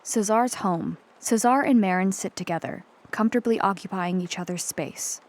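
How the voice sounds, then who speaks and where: Mid-pitched woman narrator. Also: normal speed. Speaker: narrator reading stage directions. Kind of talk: scripted narration.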